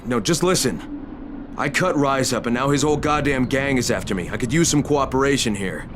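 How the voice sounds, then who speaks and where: A man's voice: medium pitch, brisk, background noise, natural voice. A man playing a determined, tough but polite undercover operative in a video game, speaking in a terse, earnest, pressing way. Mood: urgent, determined. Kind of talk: video game cutscene acting.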